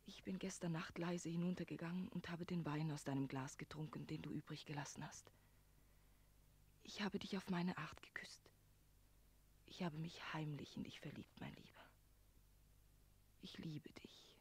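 An actress playing a tender, brave young maiden in a radio play, heartfelt and emotional. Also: female voice, sits low, fairly even intonation, normal speed, long pauses, a little mellow, husky. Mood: tender, sorrowful. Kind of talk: German radio play acting.

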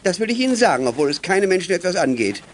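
An indignant male school librarian in a 1980s German radio play. His high voice swings animatedly as he protests, huffy and flustered, over background noise. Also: very animated, fast talker. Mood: huffy, defensive. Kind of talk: 1980s German youth radio drama acting.

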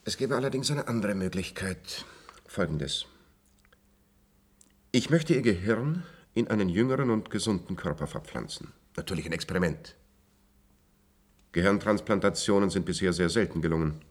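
Low-voiced, coldly clinical doctor. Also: male voice, lively intonation, moderate pace, long pauses. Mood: measured, matter-of-fact. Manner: clinical, matter-of-fact, precise. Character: cool, authoritative male institute doctor, coldly clinical. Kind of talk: German radio play acting.

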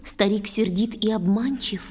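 A woman playing a young water spirit in an audio drama, softly and sweetly coaxing in warning, in long reverb. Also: medium pitch, measured pace, husky. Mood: gentle warning. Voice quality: soft, sweet.

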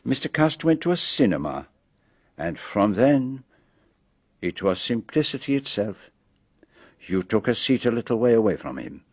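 An actor playing a brilliant, self-assured foreign detective with a French accent, explaining precisely and theatrically in a radio drama. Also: male voice, mid-range voice, big pitch swings, moderate pace, long pauses, slightly rough, no room sound. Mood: methodical, accusing. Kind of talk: BBC radio drama acting.

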